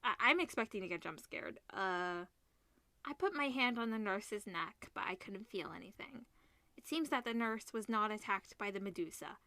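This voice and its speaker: A woman reading a visual novel aloud as a let's player, expressive, mixing narration with casual reactions. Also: medium pitch, normal speed, no echo. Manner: expressive, switches between narration and character lines.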